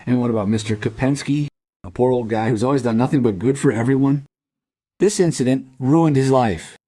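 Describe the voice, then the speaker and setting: An actor playing a stern, probing police detective in a crime radio play, questioning in a measured, insistent way. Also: male voice, mid-range voice, warm tone, lightly acted.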